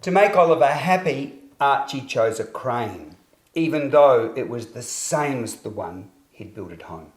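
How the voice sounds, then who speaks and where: A male storyteller narrates a children's tale in a mid-pitched voice. His phrasing is expressive and deliberate. The recording has a clearly roomy, echoing sound.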